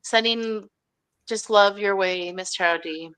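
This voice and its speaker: A woman co-hosting a tarot live stream, chatting in a warm, self-deprecating way. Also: medium pitch, unhurried, smooth, no room sound. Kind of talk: casual live stream chat.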